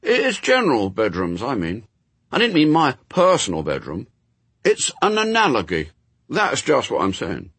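An earnest young boy from a storybook, performed by a male reader, with a raised, highly animated voice. He argues stubbornly but reasonably, in plain childish words that run on and on. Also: moderate pace, slightly rough, no room sound, full character voice. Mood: stubborn, reasonable.

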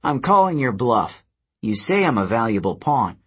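A man's voice: medium pitch, big pitch swings, moderate pace, a bit bright, husky. A man voicing a stubborn teenage boy hero in a firm, defiant tone.

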